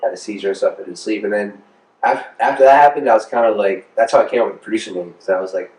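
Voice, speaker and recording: Fast-talking American man, roomy echo. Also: lowish voice, a little mellow, far from the mic. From Rhode Island, United States. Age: 30s. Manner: informal, slangy, rambling. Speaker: music producer being interviewed. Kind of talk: casual interview chat. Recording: roomy, echoing, off the mic.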